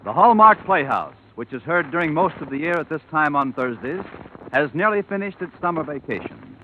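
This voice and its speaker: A man's voice: fairly high pitch, lively intonation, fairly quick, slight background noise. A man with an American accent introducing programs in 1950s radio announcer style. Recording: tinny vintage radio recording with music.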